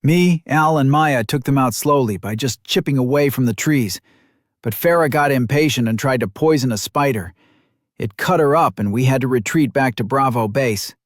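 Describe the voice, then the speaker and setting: A man voicing a quick, jittery young male archer scout in an audiobook, his talk a bit spastic. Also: medium pitch, no echo, clean studio sound, voice pushed up, lightly acted. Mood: urgent reporting.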